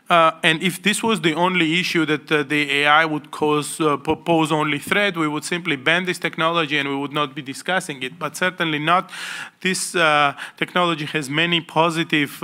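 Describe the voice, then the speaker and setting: Bright-voiced hesitant male moderator. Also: a little high, fairly even intonation, normal speed. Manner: hesitant, many fillers and restarts. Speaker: panel co-moderator. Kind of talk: conference panel opening remarks. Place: conference panel stage indoors.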